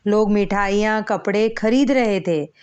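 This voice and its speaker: A woman's voice: medium pitch, unhurried, darkish tone, clean tone. A woman narrating a scripted children's story as a storyteller.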